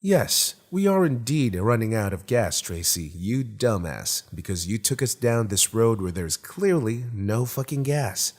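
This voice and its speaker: A man's voice: lowish voice, big pitch swings, a little slow, few pauses, full character voice. A man voicing a failing car that talks back in an audiobook, sarcastic and scolding. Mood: mocking, exasperated.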